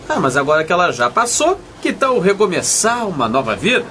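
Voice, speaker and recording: Animated, highish Brazilian everyman. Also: fairly quick, slight background noise, full character voice. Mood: pleading. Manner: colloquial, cajoling. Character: hapless, pleading young father narrating his own story. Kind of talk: comic radio soap acting and narration.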